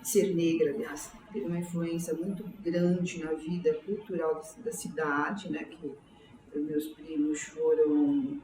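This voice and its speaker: An older woman in her sixties speaks with a São Paulo Brazilian accent in a lowish, mellow voice. She reflects on her life as an interviewee, haltingly, repeating words as she goes. The room echoes clearly around her. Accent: São Paulo Brazilian Portuguese. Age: 60s. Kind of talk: oral history interview, autobiographical recollection. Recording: roomy, distant mic, faint background noise.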